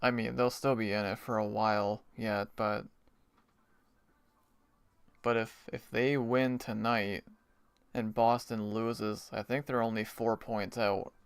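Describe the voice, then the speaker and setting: This is a Canadian man talking casually through a live hockey game for his viewers. His voice is smooth and clear, recorded close and dry with almost no room sound. He explains things plainly and chuckles here and there. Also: fairly low pitch, flat delivery, slow, long pauses, dry recording. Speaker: hockey watch party streamer. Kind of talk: casual live-stream chat.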